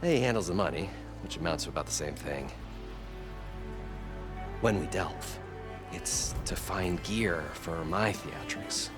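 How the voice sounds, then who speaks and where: A man's voice: low voice, animated, measured pace. An actor playing an eager inventor and showman, with dramatic, flowery storytelling in a video game. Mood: proud, theatrical. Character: eager, theatrical male inventor and showman chasing treasure. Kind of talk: video game cutscene acting. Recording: music behind the voice.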